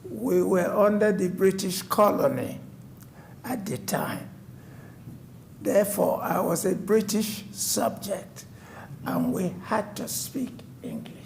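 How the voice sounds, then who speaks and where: Old guy in his 90s telling his story to a city board, slow and gravelly. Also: high-pitched, darkish tone, slight background noise. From West Africa. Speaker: resident addressing a code enforcement board. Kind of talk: personal testimony at a public meeting. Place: city council chamber.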